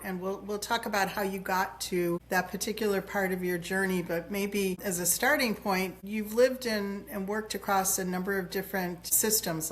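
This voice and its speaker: A woman hosting an interview podcast, asking open questions in an encouraging way and often restarting her phrases. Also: medium pitch. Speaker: podcast host.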